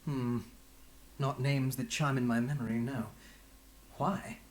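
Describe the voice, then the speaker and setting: An actor playing a sly, glib bard and underworld agent in a video game, with a flamboyant, teasing and florid delivery. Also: male voice, medium pitch, steady tone, takes it slowly, close to an everyday voice. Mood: playful. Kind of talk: scripted video game acting.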